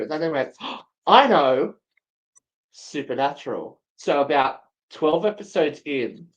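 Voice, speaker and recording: Male co-host, middle-range voice, relaxed conversational style, rambling and enthusiastic. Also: mid-range voice, low-quality recording. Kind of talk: casual chat.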